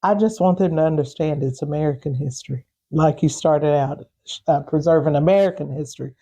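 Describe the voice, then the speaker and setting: A woman speaking off the cuff as an interview guest, pausing mid-phrase and repeating words as she goes. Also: deep voice, warm tone, husky. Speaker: interview guest, historical preservation group organizer. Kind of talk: unscripted interview talk.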